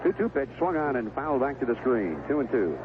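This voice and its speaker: Animated American baseball announcer on tinny vintage radio. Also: male voice, mid-range voice, fairly quick. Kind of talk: live sports commentary. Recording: vintage 1970s AM radio broadcast, tinny, noisy.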